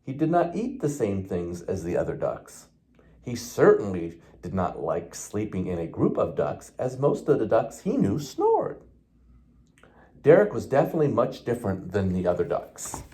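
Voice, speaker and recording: A man reads a children's storybook aloud in a mellow, soft-edged voice. His intonation swings widely and expressively through the tale. He occasionally stumbles over a word and carries on. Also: on the low side, very animated, moderate pace. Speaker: police officer reading a children's book.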